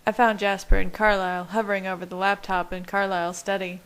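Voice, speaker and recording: A woman reading scripted first-person fiction as an amateur audiobook narrator, measured and steady. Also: medium pitch, smooth.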